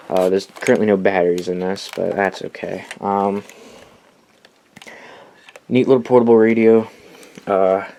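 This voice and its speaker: A man's voice: fairly low pitch, darkish tone, a little hiss or hum. A young American man giving a casual, rambling show-and-tell as a hobbyist, with enthusiastic asides. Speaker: hobbyist collector presenting weather radios.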